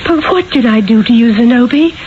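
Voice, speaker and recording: A woman's voice: fairly high pitch, lively intonation, fairly quick, natural voice. A woman acting the part of a distraught, devoted young Florentine wife in an earnest, theatrical 1970s radio drama. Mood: pleading. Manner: earnest, pleading, theatrical delivery. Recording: dull 1970s radio broadcast, faint noise.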